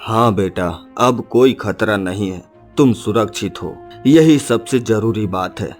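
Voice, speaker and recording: A man's voice: fairly low pitch, slow pace, faint music behind. A man playing a brave woodcutter father in a dramatic cartoon story, earnest, tender and determined. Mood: protective, tender.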